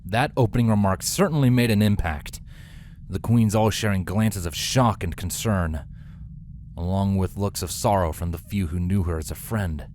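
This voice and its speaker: Low-voiced man narrating a dramatic story. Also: normal speed, darkish tone, a little hiss or hum. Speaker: fan fiction narrator. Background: faint background music.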